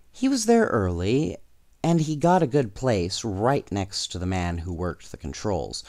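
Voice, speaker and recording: A man reading a children's storybook aloud in an expressive, unhurried way, with casual asides. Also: sits low, no room sound, studio quality. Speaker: storybook reader.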